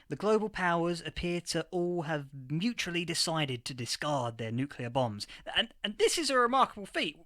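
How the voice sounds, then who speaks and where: Casting: male actor for eager academic, flustered podcast interviewee, stammering and excitable, very animated pitch, dry recording. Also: no room sound. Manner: stammering, excitable, repeats words. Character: eager, flustered male history professor of a far-future age. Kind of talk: fiction podcast interview acting.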